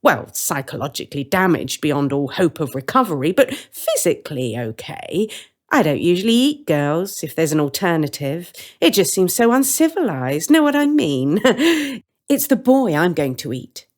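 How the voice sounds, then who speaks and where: A woman's voice: on the low side, sing-song, moderate pace. A woman voicing a chatty, sardonic witch in a storybook, breezy and matter-of-fact with mock-polite menace. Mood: gleefully menacing.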